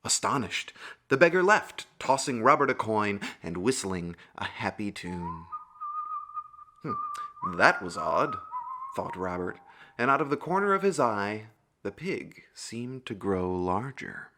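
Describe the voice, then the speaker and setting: A man's voice: fairly low pitch, sing-song, measured pace, noisy background. A man narrating a scripted fairy tale for a puppet show in a theatrical storyteller manner.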